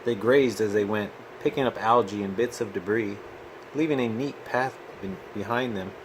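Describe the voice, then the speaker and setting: A man reading a storybook aloud to a child in an expressive, patient manner. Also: lowish voice, normal speed, a little hiss or hum, poor audio. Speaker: children's book reader.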